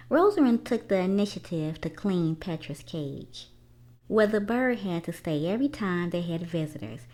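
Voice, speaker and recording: A woman reading a children's book aloud in an expressive storytelling style, pausing deliberately between phrases. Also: medium pitch.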